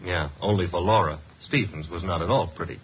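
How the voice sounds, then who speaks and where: A man's voice: low-pitched, lightly acted. An actor with an American accent playing a breezy, flirtatious, wisecracking detective's legman in a 1950s radio drama. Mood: flippant banter. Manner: breezy, teasing, quick with quips. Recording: muffled, noisy old radio recording.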